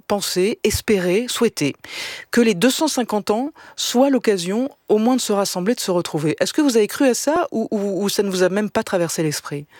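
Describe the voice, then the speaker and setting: A woman radio host who talks fast and chatty, firing off long, winding questions at callers. Her voice is close and dry on a studio mic. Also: mid-range voice, a little mellow, no room sound. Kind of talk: radio call-in hosting.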